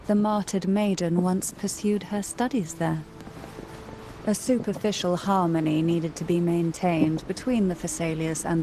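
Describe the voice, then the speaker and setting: A woman voicing a calm, cultured game noblewoman who speaks in a formal, measured, eloquent way over music. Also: on the low side, slight background noise, lightly acted, low-quality recording. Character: poised, cultured noblewoman of secretive schemes, calm and knowing. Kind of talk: video game voice acting, scripted dialogue.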